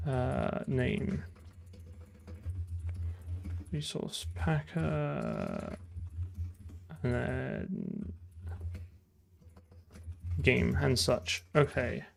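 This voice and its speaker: A man's voice: mid-range voice, sing-song, extremely slow, gravelly, pauses often. A man thinking aloud in casual live coding commentary, muttering to himself and trailing off mid-thought. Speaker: programmer livestreaming game development.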